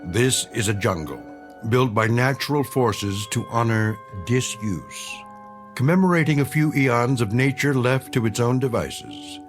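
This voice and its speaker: A man narrating a scripted drama in a solemn, measured, portentous manner. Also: medium pitch, expressive, faint music behind.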